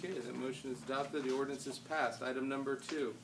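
An American man chairing a council meeting, speaking formally with informal asides and halting, repeated words. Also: medium pitch, steady tone. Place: wood-paneled council chamber. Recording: distant room microphone, faint background noise.

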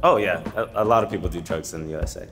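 Dude stating opinions straight, lowish voice, some reverb and soft music. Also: male voice, quick pace. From USA. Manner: confident, direct opinions. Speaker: interview guest. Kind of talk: answering questions to camera. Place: studio with grey backdrop. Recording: reverberant studio with faint music.

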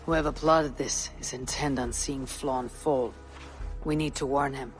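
Viking warrior woman, stoic, blunt, matter-of-fact, game cutscene, music clearly underneath. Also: very deep voice, fairly even intonation, a little slow. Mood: grave, measured. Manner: terse, dry, matter-of-fact.

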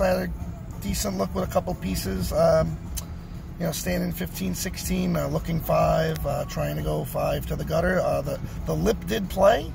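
A man's voice: high-pitched, creaky. A middle-aged man giving a casual recap to camera, repeating his phrases for emphasis, recorded on a phone inside a car. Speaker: bowler and vlogger. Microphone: phone at arm's length.

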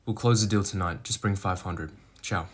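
A man's voice: sits low, normal speed, a little hiss or hum, voice pushed down, close to an everyday voice. Man playing a possessive, doting crime boss boyfriend. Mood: cold, businesslike. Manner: switches between sugary baby talk and cold threats. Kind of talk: scripted boyfriend roleplay skit.

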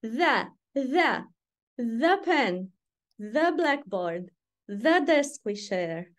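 A middle-aged woman with a Romanian accent reads her poems in an unhurried, deliberate way, with pauses between lines. She adds short asides between poems. It sounds like a video call from a room at home. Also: fairly high pitch, big pitch swings. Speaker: poet. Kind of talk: poetry reading.

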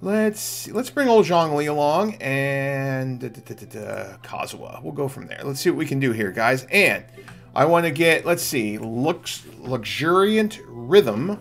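A man's voice: mid-range voice, animated, unhurried, vocal fry, soft background music. A middle-aged man doing casual gameplay commentary, thinking aloud and chatting informally to viewers. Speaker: game streamer.

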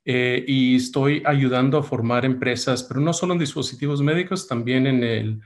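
Hombre de mediana edad, titubeante. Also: male voice, medium pitch, moderate pace. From United States. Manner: hesitant, many fillers and restarts. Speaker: workshop speaker. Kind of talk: webinar presentation. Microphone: video call.

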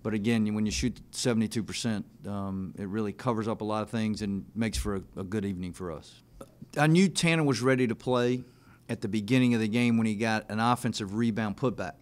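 A man in his thirties, a basketball coach answering questions after a game, speaking in a measured, plain way with frequent fillers into a desk mic in a press room. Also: lowish voice. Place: press room in front of a team backdrop. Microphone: desk mic on a stand.

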